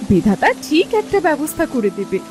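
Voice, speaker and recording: A woman's voice: sits high, sing-song, brisk, music in the background. A woman voicing a caring, anxious village wife and mother in a cartoon story, coaxing as she speaks. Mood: reassuring. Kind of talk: Bengali cartoon story voice acting.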